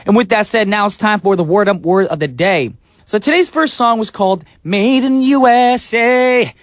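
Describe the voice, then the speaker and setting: Brief: upbeat male radio DJ, slightly high voice, dry close studio mic. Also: moderate pace, no echo. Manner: playful, breaks into sung snippets and silly voices. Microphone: studio microphone.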